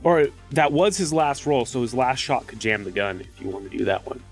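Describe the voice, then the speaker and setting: A man's voice: mid-range voice, fairly quick, soft background music. A man playing a tabletop game, chatting casually and matter-of-factly as he works through rules and numbers.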